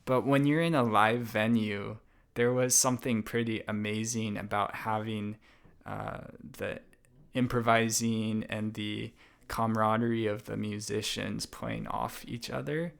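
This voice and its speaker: A young man as a podcast guest, chatting in a thoughtful, unhurried way with many fillers and restarts. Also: lowish voice, little pitch movement, vocal fry, fairly bright tone. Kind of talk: casual interview conversation.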